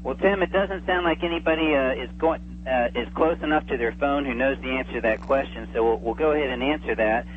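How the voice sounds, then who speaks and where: A man's voice: mid-range voice, fairly quick, slight background noise. Man on a tinny phone line. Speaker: interview guest, old-time radio enthusiast. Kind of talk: casual interview chat. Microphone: telephone.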